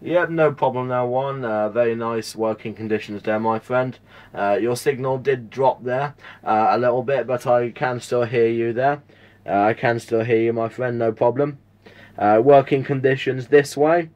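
Friendly southern English man, medium pitch. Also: fairly even intonation, moderate pace, darkish tone. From United Kingdom. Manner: friendly, repeats details. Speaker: amateur CB radio operator. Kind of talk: casual radio contact with call signs and spelling. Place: indoors, at a home radio setup.

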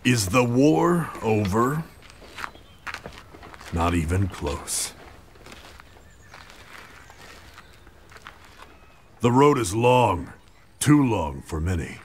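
An actor playing a world-weary, sardonic middle-aged samurai warlord with a measured manner in an English video game dub. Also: male voice, lowish voice, big pitch swings, a little hiss or hum. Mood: stern.

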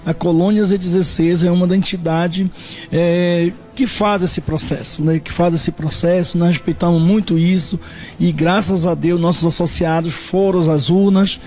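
A man's voice: high voice, steady tone, normal speed, a little mellow. A man in his forties with a northern Brazilian accent answering a reporter's question indoors on a handheld microphone. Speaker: association officer. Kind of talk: interview answer. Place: indoor meeting room.

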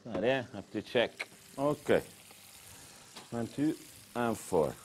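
A man's voice: mid-range voice, lively intonation, slow pace, a little mellow, slight background noise. A man from Tuscany with an Italian accent, whose first language is Italian, chatting through a cooking demonstration in broken English with Italian interjections. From Tuscany, Italy. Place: kitchen demonstration set.

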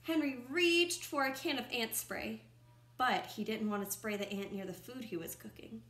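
A woman in her twenties reads a picture book aloud like a teacher to young children, expressively, pausing to comment and ask questions. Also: fairly high pitch, fairly bright tone. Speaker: first-grade teacher reading aloud. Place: small room at home, indoors by a window. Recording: roomy, echoing home recording.

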